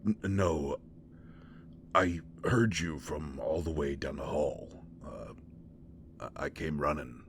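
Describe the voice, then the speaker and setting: Audio roleplay lead: shy, protective boyfriend, male actor, low-pitched, slow, earnest, trips over his words. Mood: flustered, tender. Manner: stammering, hesitant, earnest. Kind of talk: audio roleplay acting, intimate one-sided dialogue. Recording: faint background noise.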